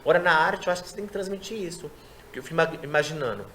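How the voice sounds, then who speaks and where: Fast-talking man explaining sign language. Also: a little high, a crowd in the background. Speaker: sign language interpreter guest. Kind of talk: studio interview. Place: studio.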